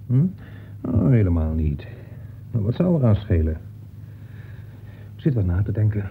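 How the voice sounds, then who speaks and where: An evasive, preoccupied husband in an old radio play. His halting speech repeats words and swings widely in pitch. The sound is boxed into a phone-like band. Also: sing-song, vocal fry. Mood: evasive, irritable. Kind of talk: Dutch radio play acting. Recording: muffled, noisy old radio play recording.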